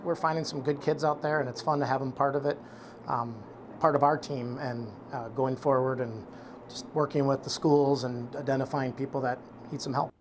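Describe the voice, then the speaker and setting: American guy, mid-pitched voice, chatting off the cuff for a news interview. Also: steady tone, normal speed. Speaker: business representative being interviewed. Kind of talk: unscripted interview soundbite.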